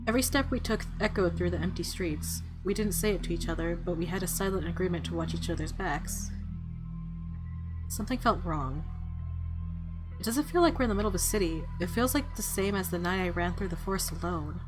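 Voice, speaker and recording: A woman's voice: lowish voice, brisk. Woman narrating a game over music. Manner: expressive, playful asides. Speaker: gamer reading a visual novel aloud. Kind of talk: dramatic narration mixed with casual playthrough commentary.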